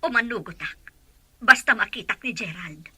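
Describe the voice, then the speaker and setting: A woman's voice: medium pitch, animated, moderate pace, pushed and bright, husky, no echo, heavily acted. A woman with an Ilocano Filipino accent playing an insistent, encouraging confidante in an audio drama. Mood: urging. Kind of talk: radio-drama dialogue.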